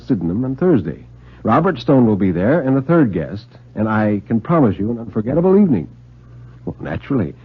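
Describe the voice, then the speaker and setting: A man reads a story aloud in the dramatic manner of 1950s radio. His husky voice moves expressively up and down through deliberate, literary sentences. The recording is old, muffled and thin. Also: medium pitch.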